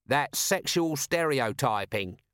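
A man's voice: medium pitch, lively intonation, takes it slowly, fairly bright tone, clear, resonant tone, no echo, studio quality, pitched above the performer's own voice. Man putting on a cheeky, sulky corporal. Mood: huffy. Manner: needling, colloquial. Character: cheeky, sulky scruffy corporal insisting he's a woman.

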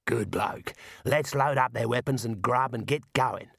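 A man voicing a rough, cheerful outback man who talks bluntly, with a gruff, matey manner. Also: medium pitch, measured pace, fairly bright tone, no echo, clean studio sound, heavily acted.

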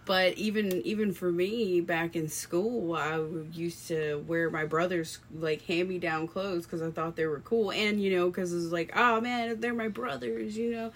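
Woman, low voice, candid, casual podcast, slight background noise. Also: fairly even intonation, normal speed, hardly any pauses. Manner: candid, personal. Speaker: podcast co-host. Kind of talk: casual discussion.

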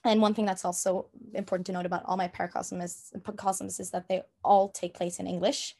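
A woman's voice: lowish voice, level tone, brisk, gravelly, mellow. Hesitant young woman, Norwegian accent. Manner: hesitant, many fillers and restarts. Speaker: interviewee. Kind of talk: casual interview talk. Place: room at home.

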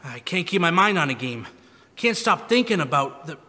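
A man plays a jumpy, excitable crook's sidekick in a radio crime play. His high voice is sharp and leaps around, in a slightly noisy live hall. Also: moderate pace. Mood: nervous. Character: jittery, excitable gangster henchman. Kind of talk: live old-time radio crime drama acting. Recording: live stage, echoey hall with background noise.

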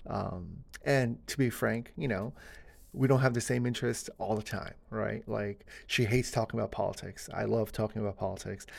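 Man with heavy vocal fry. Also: on the low side, steady tone, normal speed, a little mellow. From United States (born in Korea). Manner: casual and earnest, many fillers like "uh" and "you know". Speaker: podcast host. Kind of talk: solo podcast monologue.

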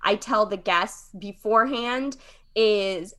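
Bright-voiced chatty woman guest. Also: medium pitch, slow. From Connecticut, USA. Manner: chatty, informal. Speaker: podcast guest, comedian and improviser. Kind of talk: casual chat.

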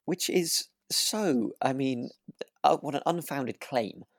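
A man's voice: medium pitch, slow pace, no echo, clean studio sound. A man co-hosting a podcast in casual chat about a radio drama, agreeable, with hesitant, trailing phrasing. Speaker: podcast co-host.

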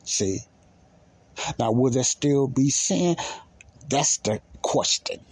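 A man's voice: medium pitch, expressive, slow, slightly rough. A man teaching the Bible in an informal sermon, emphatic and repetitive, often asking questions and answering them himself.

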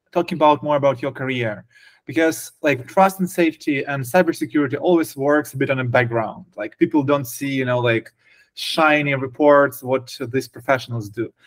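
Guy hosting a podcast, kinda hesitant, lots of ums and likes, mid pitch. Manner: hesitant, many fillers and restarts. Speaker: podcast host. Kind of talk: casual interview hosting.

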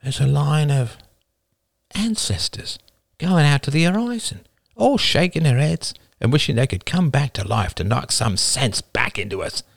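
Man voicing a soft, mournful, solemn clansman. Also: mid-range voice, lively intonation, normal speed, gravelly, no room sound, clean studio sound, voice pushed up. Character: mournful clansman revering his ancestors.

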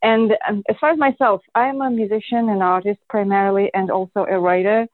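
A woman's voice: mid-range voice, steady tone, a little fast, no room sound. A woman from Russia, a podcast guest, speaking effusively and earnestly over a remote call. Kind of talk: podcast interview. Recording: tinny phone-like line, dry.

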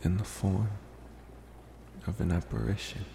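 A man playing a polite, infatuated, mysterious vampire in an ASMR roleplay, talking slowly and reassuringly with hesitant pauses. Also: low-pitched, big pitch swings, creaky, warm tone, echoey room, long reverb tail, slight background noise, exaggerated voice. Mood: calm, reassuring. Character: soft-spoken male college-age vampire, politely infatuated, mysterious.

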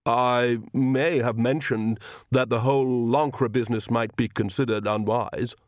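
A man's voice: medium pitch, fairly even intonation, unhurried, no echo, clean studio sound, natural voice. Man acting a careful young male vampire. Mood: hesitant, careful. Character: subdued, hesitant young male vampire, aristocratic son.

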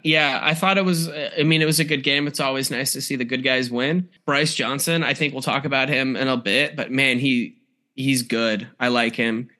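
An American man with a slightly hoarse, tired voice, hosting a podcast and chatting casually about sports. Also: mid-range voice, steady tone, normal speed, sharp tone. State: a little tired and hoarse from cheering. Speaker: podcast host.

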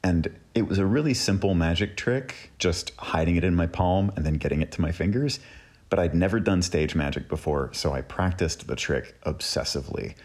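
Very deep, husky male storyteller. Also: lively intonation, normal speed, darkish tone. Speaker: host and storyteller. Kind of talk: casual personal storytelling.

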